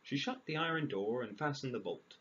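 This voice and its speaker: A man reading a fairy tale aloud, breaking in with dry, sarcastic deadpan asides. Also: medium pitch, fairly quick. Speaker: storyteller. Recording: dull lo-fi audio, clear background noise.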